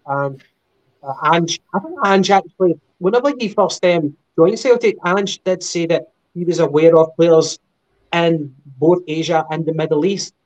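A man co-hosting a podcast, going through football news in a measured, casual way with lots of ums and uhs. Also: on the high side, darkish tone, muffled recording. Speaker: podcast co-host.

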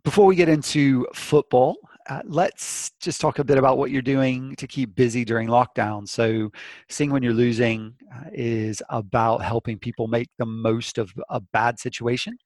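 A man's voice: medium pitch, steady tone, normal speed, a little mellow, no room sound. Male host, friendly and teasing, video call with a dry, close sound. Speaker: podcast host. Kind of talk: casual interview chat.

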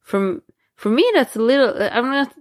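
A woman's voice: on the high side, moderate pace. A woman from Sweden with a Swedish accent in casual conversation, speaking hesitantly with many restarts and fillers.

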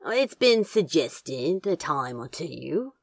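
Woman acting as bashful grumbling man, audio fiction, husky, low-pitched, halting drawl, swooping intonation. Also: sing-song, heavily acted. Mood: dry, teasing. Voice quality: husky, growly. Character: male Crowley, bashful grumbling flirt with gruff edge.

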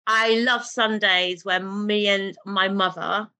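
A woman, a guest in an interview, telling stories in an animated way and laughing easily. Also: mid-range voice, takes it slowly. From Britain, raised in a Pakistani family. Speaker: guest, television drama writer. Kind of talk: casual interview talk.

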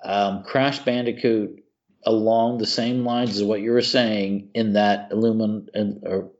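A man's voice: on the low side, steady tone, measured pace, darkish tone, lo-fi sound. A man co-hosting a casual podcast, talking in an effusive, slangy way and repeating himself for emphasis. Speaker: podcast co-host. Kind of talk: casual chat about video games and movies.

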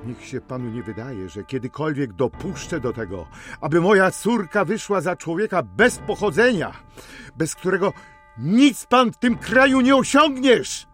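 A man's voice: on the high side, lively intonation, moderate pace, fairly bright tone, breathy edge, soft background music. An actor playing a haughty, class-conscious father in a historical radio drama, talking in a pompous, condescending, cutting way. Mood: scornful.

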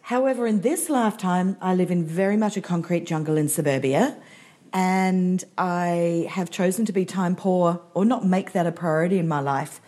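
A woman's voice: fairly low pitch, normal speed, dark tone. A woman co-hosting a talk show, enthusiastic and gushing as she chats. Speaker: podcast co-host. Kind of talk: casual chat.

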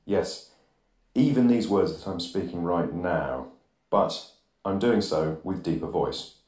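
A man hosting an absurdist comedy podcast, rambling deadpan monologue recorded on a phone. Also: sits low, slow pace. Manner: deadpan, rambling, drops articles. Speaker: podcast host. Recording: phone recording with reverb plugin, bathroom echo.

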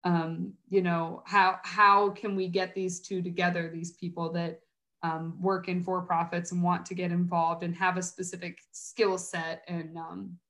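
A woman's voice: on the low side, level tone, unhurried, a bit bright. An American woman answering interview questions in an earnest, chatty, conversational way, thinking aloud as she goes. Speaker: interview guest, nonprofit coordinator and mentor.

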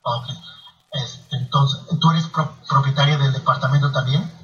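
A man's voice: medium pitch, fairly even intonation, unhurried, fairly bright tone. A man interviewing a guest on the radio and reading out names and addresses in a methodical way. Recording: echoey, distant, muffled radio sound, faint music.

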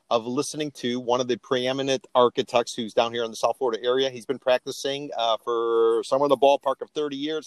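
A man's voice: mid-range voice, no echo. A man hosting an interview podcast in a casual, chatty, informal way with emphatic delivery. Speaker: podcast host.

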